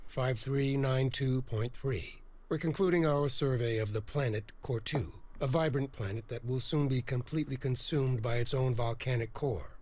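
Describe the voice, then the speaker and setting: A man's voice: mid-range voice, natural voice. A man playing a pompous, hot-tempered starship captain in a video game cutscene, with a grandiose, theatrical delivery. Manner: grandiose log-reading delivery, exploding into shouted orders.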